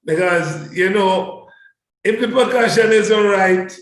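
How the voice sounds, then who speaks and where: Male interview guest, high-pitched, enthusiastic and conversational, repeats words for emphasis, very echoey sound. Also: slow. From Trinidad and Tobago.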